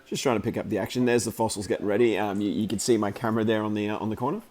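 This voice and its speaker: A man just over the flu, his voice croaky, giving chatty, rambling commentary over footage of a hockey game he filmed, with plenty of fillers and restarts. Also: fairly low pitch, steady tone, very fast, darkish tone. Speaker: amateur hockey commentator and video maker.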